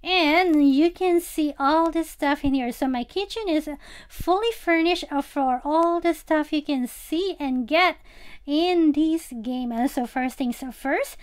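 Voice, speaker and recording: A woman's voice: high-pitched, a little slow. A woman giving a casual, chatty game tour as a channel host, speaking directly to viewers.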